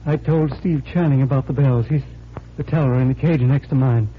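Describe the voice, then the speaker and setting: A man's voice: medium pitch, fairly even intonation, a little fast, slight background noise, natural voice. An actor playing a tormented bank teller afraid for his sanity, distraught and faltering, in 1940s radio style. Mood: troubled, confiding. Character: tormented, desperate male bank teller fearing madness. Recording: thin, lo-fi 1940s radio recording.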